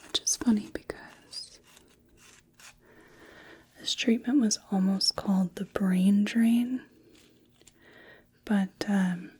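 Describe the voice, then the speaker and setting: A woman softly whispering in an ASMR sci-fi roleplay as a calm, attentive medical technician, murmuring short observations to herself. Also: medium pitch, takes it slowly, long pauses. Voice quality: soft, breathy whisper.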